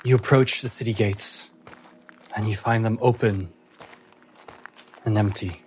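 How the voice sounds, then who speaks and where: A man narrating a tabletop fantasy game as game master, in deliberate, atmospheric phrases broken by pauses. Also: on the low side, fairly even intonation, a little mellow, a little hiss or hum, low-quality recording. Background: music.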